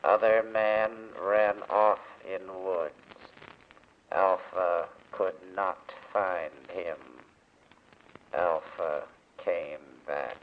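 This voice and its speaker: A lumbering machine man in an old radio play. The voice is very low and drags extremely slowly, halting and cold, with proud literal menace. The recording is dull and aged. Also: a little hiss or hum. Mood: flat, obedient. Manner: flat, halting speech, refers to itself in third person. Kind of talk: 1940s radio acting.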